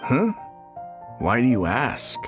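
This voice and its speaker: A man's voice: mid-range voice, huge pitch swings, slow, music in the background. An actor playing a casual, slightly defensive townsman in a video game, talking in an offhand way.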